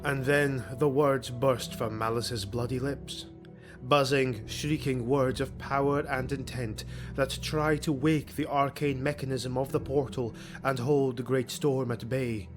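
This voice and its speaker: A man's voice: medium pitch, steady tone, measured pace. A man narrating a fantasy audiobook in a grave, theatrical, dramatic scripted style. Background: music.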